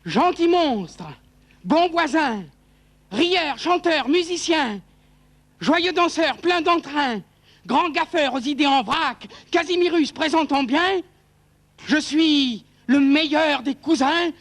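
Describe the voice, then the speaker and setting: A man's voice: extremely high pitch, expressive, takes it slowly, a bit bright, full character voice. A man voicing a boisterous, blundering friendly monster who declaims poetically in a children's show. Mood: boastful, jolly. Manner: theatrical, declaiming verse.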